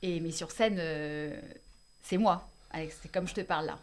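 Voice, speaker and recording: A young woman from the Lyon area, a comedian talking casually about her stage show, lively and chatty with frequent laughs and restarts. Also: deep voice, moderate pace. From west of Lyon, France. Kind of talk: casual interview chat.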